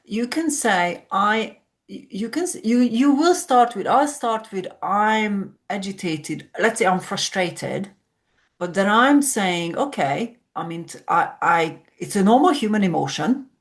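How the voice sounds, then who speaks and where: A woman's voice: medium pitch, animated, slow pace. A woman from Hungary, a sleep coach explaining ideas reflectively as a podcast guest. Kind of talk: podcast conversation.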